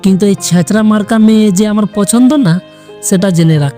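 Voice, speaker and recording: A man's voice: high voice, fairly quick, dark tone, faint music behind, close to an everyday voice. A man playing an irritable, curt young man in an audio story, scolding and exasperated. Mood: scornful. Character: irritable young man pestered by his lovestruck cousin. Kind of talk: Bengali audio story acting.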